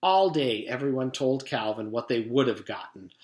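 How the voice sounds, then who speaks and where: Animated man reading a storybook aloud. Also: mid-range voice, normal speed. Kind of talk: expressive storybook narration. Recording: roomy echo.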